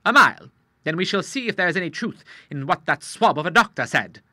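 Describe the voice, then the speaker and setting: A man voicing a blunt, gruff elderly retired admiral in an audiobook, with brisk nautical bluster. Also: high-pitched, expressive, sharp tone, no room sound, clean studio sound, voice pushed up, heavily acted. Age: older.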